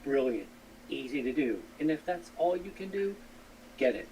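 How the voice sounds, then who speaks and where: A man's voice: medium pitch, very animated, normal speed, warm tone. A man talking casually on a live stream in an earnest, rambling, repetitive way. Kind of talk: casual live stream talk, appealing to fellow hams. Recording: distant mic with faint noise.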